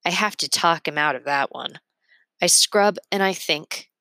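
A woman's voice: lowish voice, measured pace, sharp tone, no room sound. A woman reading a storybook aloud expressively, narrating in the first person.

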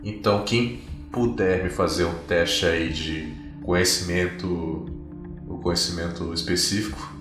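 A man's voice: low voice, steady tone, measured pace, echoey room, distant mic. A man with a Brazilian accent narrating a tabletop role-playing game as game master. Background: music.

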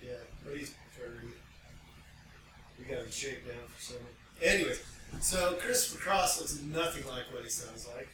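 A man's voice: mid-range voice, lively intonation, normal speed. Guy rambling casually on a podcast, way off mic, lots of room echo and noise. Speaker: podcast co-host. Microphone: picked up by co-host's mic about five feet away. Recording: distant, very echoey, noisy off-mic pickup.

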